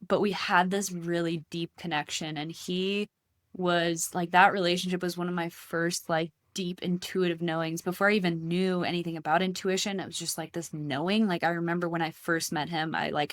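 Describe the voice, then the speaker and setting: A woman in her thirties who grew up in Costa Rica, speaking as a podcast guest. Her voice sits a little low, and she tells her story in a reflective, casual way, recorded close and dry. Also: moderate pace, no room sound. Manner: reflective, storytelling, many fillers. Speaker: podcast guest, healing coach. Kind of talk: casual interview talk.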